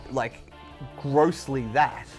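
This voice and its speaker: A man's voice: mid-range voice, very slow, faint music behind. An Australian man co-hosting a video game show, discussing gaming news in a conversational way.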